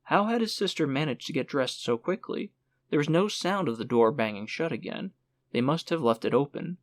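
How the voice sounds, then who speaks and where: A man narrating a literary audiobook in a measured storytelling manner. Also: a little high, expressive, no echo.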